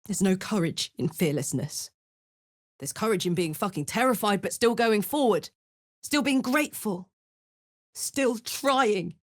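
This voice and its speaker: A woman's voice: mid-range voice, lively intonation, a little slow, dry recording, clean studio sound. An actress with a British accent playing a brash, big-hearted, battle-hardened warrior woman in a fantasy video game, blunt and earthy in manner. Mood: tender, earnest.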